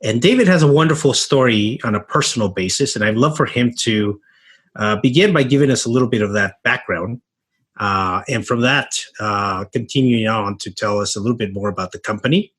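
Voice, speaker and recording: A man hosting a business interview, husky-voiced and measured. He introduces the guest formally and pauses on fillers. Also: on the low side, fairly bright tone. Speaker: interview host.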